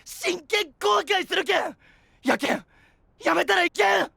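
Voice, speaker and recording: A man's voice: very high voice, lively intonation, a little slow, creaky, bright, forceful tone, no room sound, clean studio sound, exaggerated voice. A man playing an earnest, encouraging young knight in a voice drama, heartfelt and pleading, with an Oita dialect from western Japan. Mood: urgent, pleading. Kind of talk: anime-style voice drama acting.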